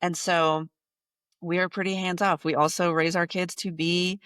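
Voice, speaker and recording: Blunt, forceful woman in her thirties. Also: fairly low pitch, little pitch movement, moderate pace, dry recording, clean studio sound. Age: 30s. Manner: emphatic, blunt, repeats points for effect. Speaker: podcast guest, business coach. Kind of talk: casual interview talk.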